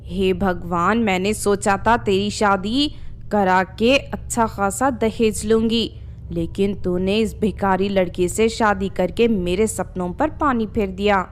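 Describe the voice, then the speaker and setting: A woman's voice: medium pitch, fairly even intonation, slow pace, natural voice. A woman voicing a greedy, scheming older mother-in-law in a harsh, accusing, commanding way for a cartoon story. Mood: angry, scolding.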